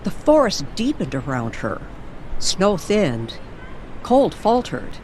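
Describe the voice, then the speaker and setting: A woman narrating an eerie scripted story in an old-time radio style, with deliberate, suspenseful delivery. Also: mid-range voice, very animated, a little mellow, a little hiss or hum, rough recording.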